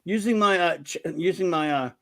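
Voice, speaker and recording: Gravelly man hosting a rambling chat. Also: sits high, brisk, dry recording. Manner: rambling, hesitant, self-deprecating. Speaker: show host. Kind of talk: casual livestream chat.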